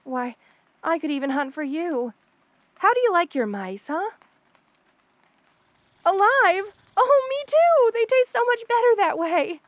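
High-pitched obsessive snake girl, acted by a woman. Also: big pitch swings, measured pace, long pauses, no echo, full character voice. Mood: eager. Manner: flustered, stammering, gushing, boastful. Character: obsessive, jealous, eager rattlesnake lamia monster girl. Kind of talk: intimate audio roleplay addressed to listener.

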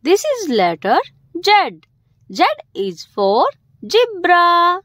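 Lady doing kids lesson narration, super slow, voice swoops up and down a lot. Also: very high-pitched, very animated, a bit bright, no echo, clean studio sound. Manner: simple short phrases with emphasized key words. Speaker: host of a children's alphabet video. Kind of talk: children's educational narration.

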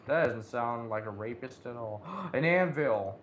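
A man's voice: mid-range voice, expressive, measured pace. Muffled man chatting casually over a game. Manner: profane, slangy, blurts reactions to finds. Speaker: video game player. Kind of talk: casual co-op gameplay chat. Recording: muffled lo-fi mic, background noise.

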